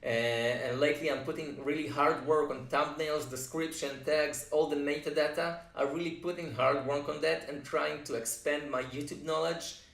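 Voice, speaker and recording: A man's voice: a little high, fairly even intonation, normal speed, creaky, few pauses, a bit bright. A man in his thirties, a native Russian speaker from Russia, introducing himself in an earnest, plain vlog with frequent fillers. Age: 30s. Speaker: YouTube composer. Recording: roomy echo, mic set far off.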